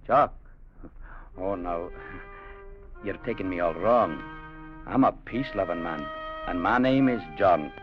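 Stern but peaceable trader, lowish voice, old muffled radio drama. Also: male voice, animated, measured pace, pauses often, faint music behind. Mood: earnest, calm. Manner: earnest, old-fashioned turns of phrase. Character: principled, peace-loving Scottish trader, firm and stern. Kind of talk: 1950s radio drama acting. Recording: muffled vintage radio recording.

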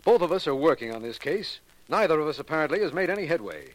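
An American actor playing an earnest, skeptical district attorney in a 1940s radio mystery, formal and insistent. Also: male voice, on the high side, animated, fast talker. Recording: faintly noisy vintage radio recording.

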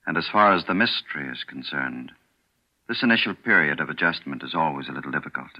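A deep-voiced, sinister doctor. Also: male voice. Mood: coolly menacing. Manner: smooth, unhurried, quietly menacing. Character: calm, sinister male doctor. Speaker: doctor character. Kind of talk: 1940s radio acting. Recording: dull lo-fi old radio broadcast.

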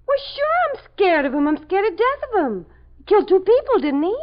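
A woman's voice: very high-pitched, sing-song, brisk. An actress playing a frightened young woman being questioned by police, defensive and pleading, in a 1950s radio drama. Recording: dull old radio broadcast recording.

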